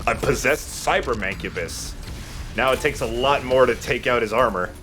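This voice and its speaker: A man's voice: mid-range voice, moderate pace, fairly bright tone. A man giving casual commentary while he plays a video game, speaking as the host of a let's play. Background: game music.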